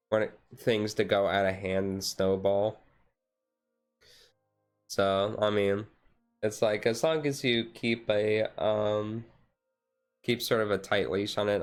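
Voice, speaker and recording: A man chatting casually on a relaxed livestream in a rambling, easygoing way. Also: fairly low pitch, smooth. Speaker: streamer, tabletop game master. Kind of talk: casual chat on a relaxed ASMR-style livestream.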